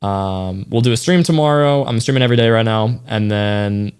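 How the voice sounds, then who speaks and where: A young man with a slightly raspy voice, worn out after a long stream, chatting casually to his viewers and thanking donors with lots of slang. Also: fairly low pitch, moderate pace. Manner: loose, thanks donors, slang-heavy, trails off. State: tired, voice getting raspy. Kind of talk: casual livestream chat to viewers.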